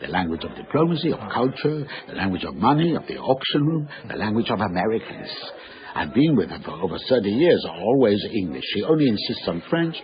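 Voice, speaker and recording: A man's voice: lowish voice, very animated, a little fast. An actor playing a refined older Frenchman in service in a radio drama, speaking with formal, precise courtesy. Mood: confiding, wistful. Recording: slightly dull radio broadcast sound.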